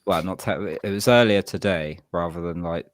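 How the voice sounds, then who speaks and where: A man co-hosting a music review podcast, introducing tracks and trading quick banter. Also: low-pitched. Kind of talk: casual chat.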